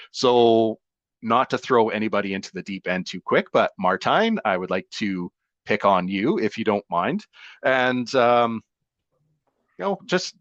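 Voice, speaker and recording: Man, very low voice, dry close sound, calm webinar presenter. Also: no echo, dull audio. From Canada. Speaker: rural economic development advisor.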